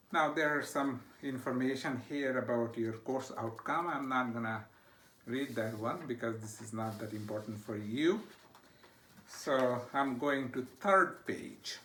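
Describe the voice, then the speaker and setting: A man's voice: mid-range voice, fairly bright tone, a little hiss or hum. A middle-aged man with a Bangladeshi accent, a university instructor introducing his course from a home study, with frequent "uh" fillers and a checking "okay?". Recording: echoey room.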